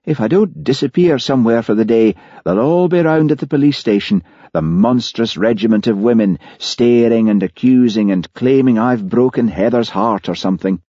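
A man voicing an exasperated, stubborn, grumbling Highland policeman in an audiobook. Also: moderate pace, few pauses, dark tone, no echo, highs cut off, lightly acted. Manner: blunt, grumbling. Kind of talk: audiobook character acting.

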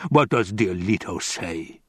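A man voicing a fat, scheming baron in an audiobook, with a rumbling, growling bass and a grandiose, savoring delivery. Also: slow pace, no echo, clean studio sound. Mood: impatient, sardonic. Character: fat scheming villainous baron, pompous and menacing.

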